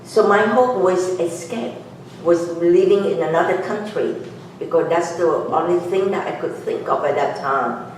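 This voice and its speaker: A woman in her sixties with a Vietnamese accent speaks earnestly and haltingly, often pausing and restarting. She is picked up from across a very echoey meeting room by a distant mic. A faint hiss of noise sits underneath. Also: lowish voice. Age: 60s. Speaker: guest speaker and author. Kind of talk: personal testimony talk to a club audience.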